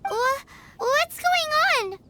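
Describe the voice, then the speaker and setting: A woman's voice: extremely high pitch, expressive, drawn-out words, heavy background noise, full character voice. A woman voicing a perky, eager young female gliding instructor in a video game, bubbly and encouraging. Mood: surprised. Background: game music.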